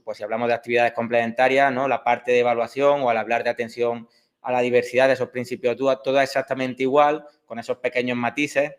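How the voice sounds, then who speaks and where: A man in his thirties from Córdoba with an Andalusian accent, introducing an online exam preparation course with many fillers and restarts. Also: medium pitch. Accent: Andalusian Spanish. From Córdoba, Spain. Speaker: exam prep teacher.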